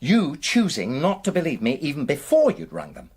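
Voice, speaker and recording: A man's voice: a little high, sing-song, normal speed, gravelly, breathy edge, full character voice. A man acting in a naturalistic radio drama as a defensive, aggrieved husband, earnest and emphatic as he justifies himself. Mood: wounded, indignant. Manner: earnest, emphatic, self-justifying, hesitant under questioning. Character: aggrieved, defensive middle-class husband suspected of an affair.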